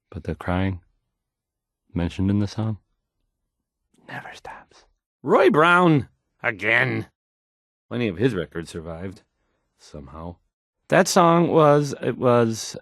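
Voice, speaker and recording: A man's voice: on the low side, very animated, takes it slowly, long pauses, a bit bright, dry recording, clean studio sound, full character voice. An actor playing a timid, awkward young male radio DJ in a video game, stammering, hesitant and apologetic. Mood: awkward, downbeat. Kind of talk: post-apocalyptic video game voice acting.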